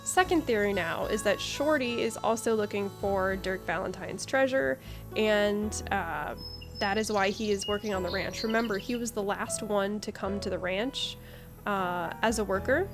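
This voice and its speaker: A woman giving casual, expressive playthrough commentary, thinking aloud. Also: medium pitch, normal speed. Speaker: game streamer. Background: game music.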